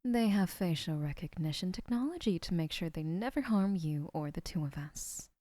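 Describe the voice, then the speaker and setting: An actress playing a gentle, obsessive young yandere woman in an audio roleplay, speaking in soft, hushed murmurs. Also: lowish voice, animated, normal speed, dry recording, natural voice.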